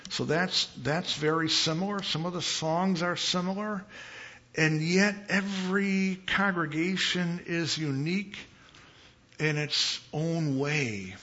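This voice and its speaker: A man from Chicago preaching as a visiting pastor in a church, with a big voice and an unhurried, conversational manner, pausing and repeating phrases for emphasis. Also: a little high, sharp tone, lo-fi sound. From Chicago, United States. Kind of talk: conversational sermon. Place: church sanctuary.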